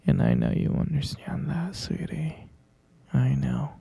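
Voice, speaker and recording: Man acting a breathy, creaky-whispering obsessive boyfriend. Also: on the high side, huge pitch swings, dark tone, dry recording, exaggerated voice. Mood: insistent, defensive. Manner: cooing, coaxing, slow and halting. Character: obsessive possessive boyfriend captor, sweetly menacing. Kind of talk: whispered ASMR roleplay.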